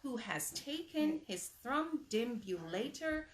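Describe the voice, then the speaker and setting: A woman reading a children's bedtime story aloud in an expressive, emphatic storybook manner, with asides to young listeners. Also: a little high, takes it slowly, background noise.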